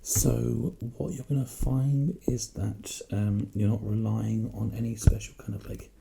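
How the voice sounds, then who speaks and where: A man doing a casual, reassuring ASMR sales role play, speaking softly and chattily into a close binaural microphone. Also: fairly low pitch, little pitch movement, measured pace. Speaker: ASMR performer playing a personal shopper. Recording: close binaural mic, clear background hiss.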